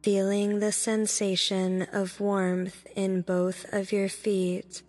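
Slow, even-toned woman guiding meditation. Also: medium pitch. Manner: soothing, deliberate, instructing in short phrases. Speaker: meditation guide. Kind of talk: guided meditation narration. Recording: long reverb with background music. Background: music.

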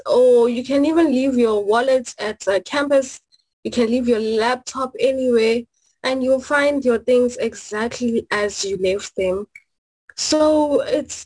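A woman's voice: on the high side, slightly rough, poor audio. A woman from South Africa, a master's student, answering interview questions earnestly and explaining with examples.

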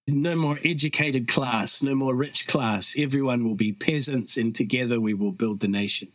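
A man's voice: mid-range voice, moderate pace, a bit bright, no echo. A middle-aged man with a New Zealand accent, a podcast guest telling stories in a reflective, earnest way. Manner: reflective, earnest, with frequent fillers. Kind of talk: casual conversational storytelling.

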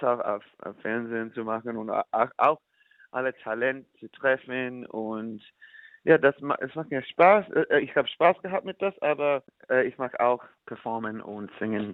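A man from the United States, a musician, answering in halting German and slipping into English. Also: medium pitch, dry recording. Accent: American. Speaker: musician guest. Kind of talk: casual interview in learner German. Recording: dry, phone-like line.

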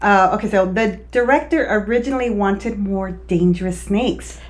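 A woman's voice: mid-range voice, moderate pace. A woman co-hosting a podcast in casual chat, speaking with a lisp, laughing often and swearing freely. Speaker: podcast co-host.

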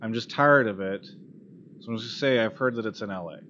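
A man's voice: on the low side, fast talker, pushed and bright. A man hosting an esports call-in show, chatting casually and bluntly, with some swearing and exasperation. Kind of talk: casual live talk show chat. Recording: dull lo-fi audio with clear background noise.

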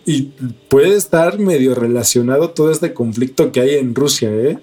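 A man's voice: medium pitch, moderate pace, warm tone. A man with a Mexican accent co-hosting a news podcast, mixing news reading with casual, sweary slang. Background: background music.

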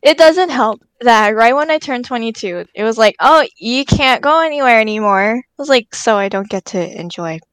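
A woman's voice: on the high side, animated, moderate pace, few pauses, fairly bright tone, smooth, no echo, low-quality recording. A woman in her early twenties in casual chat, giggly and telling stories with quoted asides.

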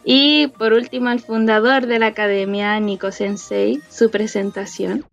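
A woman's voice: medium pitch, steady tone, a little slow, gravelly, soft background music. A woman hosting the chat, inviting each guest to introduce themselves in a playfully formal way. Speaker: host. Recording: slightly muffled lo-fi mic.

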